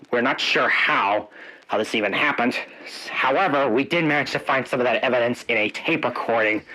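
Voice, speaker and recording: A man's voice: medium pitch, pushed and bright, low-quality recording. A man playing a police officer making a statement in an amateur analog-horror emergency broadcast. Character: male police officer giving grave warnings, panicking under attack.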